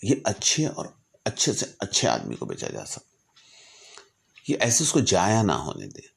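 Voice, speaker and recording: A man telling a story reflectively in a didactic way, with measured delivery and deliberate pauses. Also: low voice, huge pitch swings, creaky. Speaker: storyteller and speaker. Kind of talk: reflective storytelling, reciting a letter from memory with asides.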